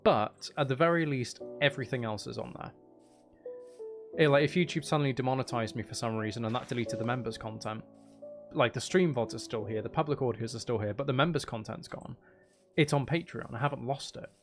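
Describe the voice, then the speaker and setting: A man's voice: medium pitch, fairly quick, faint music behind. A man chatting casually and in a rambling way as he hosts a livestream while gaming. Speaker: streamer, ASMR channel host.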